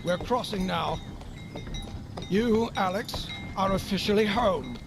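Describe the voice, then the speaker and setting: A man playing a loyal, older noble uncle in an audio game, speaking in a measured, dryly honest, reassuring way. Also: high-pitched, lively intonation, noisy background, exaggerated voice. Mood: gentle, dry. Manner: measured, reassuring, dry humour. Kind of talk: audio game drama acting. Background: horse hooves and outdoor ambience.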